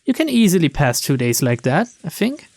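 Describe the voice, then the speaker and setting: A man's voice: on the high side, sing-song, a little fast, gravelly, a little mellow, no room sound, clean studio sound. A man reading game narration aloud and adding casual, wry commentary, informal in manner. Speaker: video game streamer, narrator.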